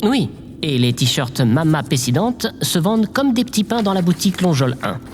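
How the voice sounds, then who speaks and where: A man's voice: a little high, sing-song, normal speed, a little hiss or hum. An actor playing a meek, awkward, eager male aide in a French audio drama, hesitant and deferential.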